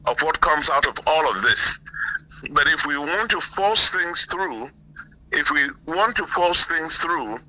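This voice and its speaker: A man giving earnest, deliberate political commentary on a call, repeating phrases for emphasis. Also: a little high, sharp tone, low-quality recording. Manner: halting, deliberate, emphatic repetition. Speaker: guest caller, political commentator. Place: remote call.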